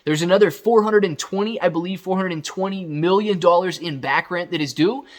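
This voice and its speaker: Man, fairly high pitch, casual commentary, conversational, emphatic, upbeat video host. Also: brisk. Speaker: video host recapping company news. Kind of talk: casual off-the-cuff commentary addressed to viewers.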